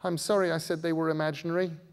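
Storybook little girl, played by a man: scared and snappish, raised pitch, insistent, reverberant hall. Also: little pitch movement, quick pace, lightly acted. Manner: insistent, exclaiming. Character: frightened, irritable, outspoken little girl. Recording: echoing hall through a PA.

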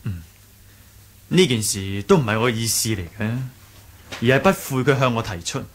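An actor playing an earnest, modest young martial sect leader in a radio drama, measured and courteous, with a Hong Kong Cantonese accent. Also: male voice, mid-range voice, lively intonation, a little hiss or hum, lightly acted. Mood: gentle, persuasive. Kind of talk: 1980s radio drama acting.

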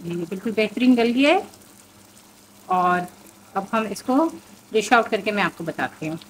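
A woman's voice: mid-range voice, lively intonation, normal speed, a little hiss or hum, rough recording. A woman, hosting a home cooking show and explaining each step in a chatty way with many fillers. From Pakistan.

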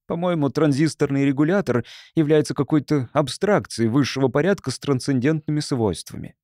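Мужчина играет серьёзного обидчивого молодого монаха. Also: male voice, on the high side, fairly quick, a little mellow, no echo, clean studio sound, lightly acted. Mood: earnest. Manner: stiff, defensive, solemnly scholarly. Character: earnest, easily flustered young male monk.

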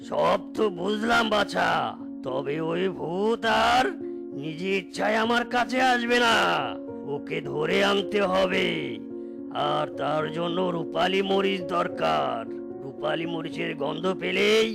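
Stern tantric holy man, high male pitch, extremely gravelly, authoritative, cartoon, background music. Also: heavily acted. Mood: commanding. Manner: slow, authoritative. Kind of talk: cartoon voice acting. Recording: music behind the voice.

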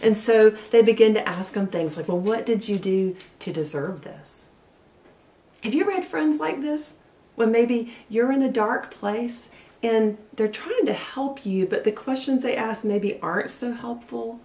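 A woman in her forties preaching a sermon from her living room, telling the story earnestly and slowing down for emphasis. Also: a little high, darkish tone. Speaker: pastor. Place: living room at home, indoors. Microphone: camera a little way off, seated facing it. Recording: roomy sound from a distance.

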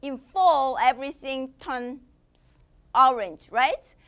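A young woman teaching a children's language lesson, expressive and enunciating slowly for young learners. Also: high voice, clear, resonant tone. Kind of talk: children's language lesson, switching between Korean and English.

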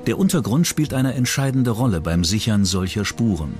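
A man's voice: on the low side, lively intonation, moderate pace, a little mellow. A man reading scripted true-crime documentary narration as the narrator. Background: background music.

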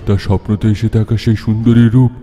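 Man voicing a dark, echoing ghost of a sculptor. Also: lowish voice, flat delivery, fast, lightly acted. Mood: solemn recounting. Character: ghost of a grieving sculptor father. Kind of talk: dramatic Bengali horror-tale narration. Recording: long reverb, music behind.

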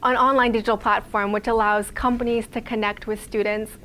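A young woman from Quebec, Canada, gives a relaxed talk about her startup in a small office lounge. Her pitch stays level and unvaried, and she often pauses on "like" and "um". A faint hiss sits under the room sound. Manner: conversational, many fillers like "like" and "um". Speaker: guest speaker, startup founder. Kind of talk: casual talk to a small audience.

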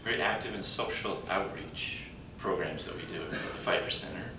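An older man speaking at a podium in a large conference hall, introducing a colleague in a folksy, halting way with pauses. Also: creaky. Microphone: podium mic, recorded from afar by a computer. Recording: distant echoey hall recording with background rumble.

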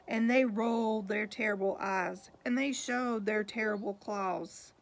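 A woman reading a picture book aloud to young children in an expressive, unhurried way, pausing to point out the pictures. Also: mid-range voice, vocal fry. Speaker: storybook reader, teacher.

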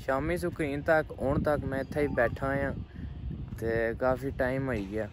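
Relaxed Punjabi-accented young man outdoors. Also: medium pitch, moderate pace. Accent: Pakistani Punjabi (Pothwari). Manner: relaxed, chatty, chuckles between remarks. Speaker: truck driver vlogger. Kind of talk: casual vlog chat to camera. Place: open ground outdoors, evening. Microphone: phone at arm's length. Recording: phone video outdoors with clear traffic noise.

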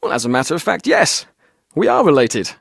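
A man's voice: a little high, very animated, fairly quick, gravelly, breathy edge, no room sound, studio quality, full character voice. An actor playing a chatty, roguish male tour guide in a video game, with a glib, jaunty, theatrical way of telling things. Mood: breezy, joking. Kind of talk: video game character dialogue.

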